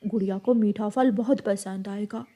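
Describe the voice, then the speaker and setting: A mellow, medium-pitched cartoon papa bird, voiced by a woman. He is calm and protective, explaining things in a measured, parental way. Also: natural voice. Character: calm protective father songbird.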